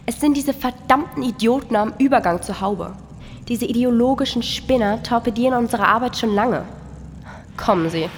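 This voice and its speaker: A woman's voice: on the high side, moderate pace, natural voice. A woman in a radio play acting a bossy, cynical, foul-mouthed female work boss with a brusque, scornful manner. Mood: harried, irritated. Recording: long hall reverb, faint noise.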